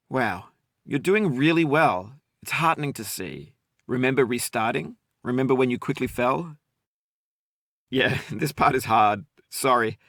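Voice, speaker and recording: Middle-aged man, mid-pitched, calm and wry narrator, dry close sound. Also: no room sound. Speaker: game narrator. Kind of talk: reflective voice-over narration.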